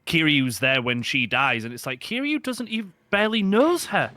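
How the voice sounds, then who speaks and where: A man's voice: fairly high pitch, lively intonation, moderate pace, bright, forceful tone, no echo, clean studio sound. A young man with a Yorkshire accent, a stream guest in casual chat, ranting with animation and often correcting himself. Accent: Yorkshire English. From Yorkshire, England.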